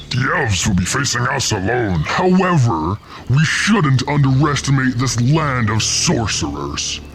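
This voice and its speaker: Game henchman: cautious orc lieutenant, deferential, gritty fry in the voice, soft music behind. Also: medium pitch. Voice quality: creaky.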